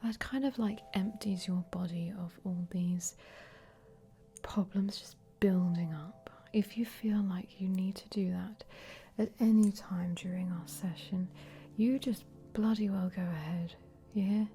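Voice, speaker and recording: Slow whispering woman playing a meditation guide. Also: mid-range voice, steady tone, natural voice. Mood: soothing, gently cheeky. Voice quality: breathy whisper. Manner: gentle, slow, rambling, chatty instructions. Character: Esmeralda, easygoing, cheeky woman meditation guide. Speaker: ASMR host leading a relaxation session.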